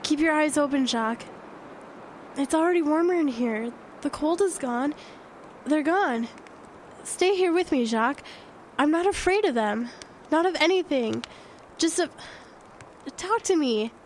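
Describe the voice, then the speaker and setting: A woman's voice: high voice, animated, unhurried, long pauses. An actress playing a determined, devoted but frightened woman in a blizzard, urgent and pleading, in a horror audio drama. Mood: anxious, soothing. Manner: urgent, pleading, repeating herself. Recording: noisy wind and effects bed.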